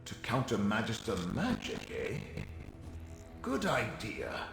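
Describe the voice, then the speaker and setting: A man voicing a self-assured, boastful ghostly wizard spirit with a gravelly voice in a video game. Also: lowish voice, wildly swooping pitch, takes it slowly, exaggerated voice. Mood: sly, confident. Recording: roomy hall reverb under music.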